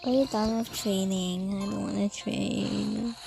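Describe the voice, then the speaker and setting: A woman's voice: mid-range voice, steady tone, measured pace, creaky, low-quality recording. A woman giving casual gameplay commentary in an offhand, hesitant way. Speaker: gamer, video creator. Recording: loud game music behind.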